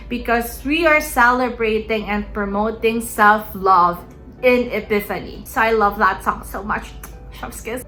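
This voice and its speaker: Young woman from the Philippines, native Tagalog speaker, bright eager booktuber, chatty and casual. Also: mid-range voice. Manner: chatty, eager, filler-heavy. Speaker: book vlogger. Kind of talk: casual reading-list vlog.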